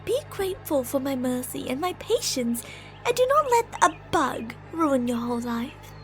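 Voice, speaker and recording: A woman's voice: sits high, very animated, normal speed, faint music behind, poor audio. A woman voicing a possessive, delusional lamia monster in an ASMR roleplay, speaking in a haughty, theatrical, sweetly gaslighting way. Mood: coaxing, threatening.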